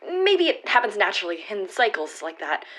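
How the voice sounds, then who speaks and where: A woman playing an anxious, earnest girlfriend in a radio play, reasoning haltingly as she pleads to save a failing relationship. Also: mid-range voice, very animated, fairly bright tone, low-quality recording. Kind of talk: radio play acting, naturalistic dialogue.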